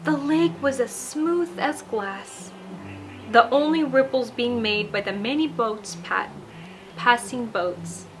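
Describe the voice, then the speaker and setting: A woman reading a children's bedtime story aloud in a deliberate, expressive storybook delivery. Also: fairly high pitch, background noise. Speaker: storyteller and show host.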